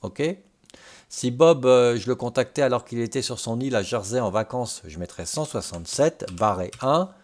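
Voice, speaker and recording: A man presenting an informal step-by-step software tutorial, explaining things and checking in with short tags. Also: on the low side. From Brittany, France.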